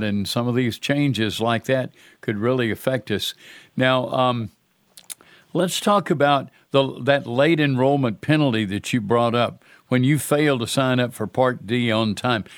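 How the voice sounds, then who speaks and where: A man's voice: mid-range voice, moderate pace, no room sound, clean studio sound. An older man hosting a talk radio show, earnest and folksy in a conversational way.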